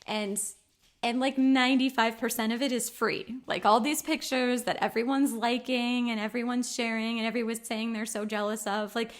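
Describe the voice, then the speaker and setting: A woman's voice: fairly high pitch, fairly even intonation, normal speed. An American woman hosting a podcast in casual conversation, speaking in an earnest, emphatic way. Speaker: podcast host.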